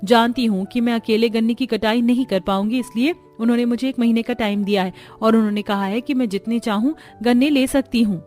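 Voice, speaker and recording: A woman's voice: fairly high pitch, brisk, hardly any pauses, darkish tone, soft background music, close to an everyday voice. A woman voicing earnest, caring women of a poor village family in a cartoon story. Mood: happy, hopeful. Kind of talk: Hindi cartoon story dialogue.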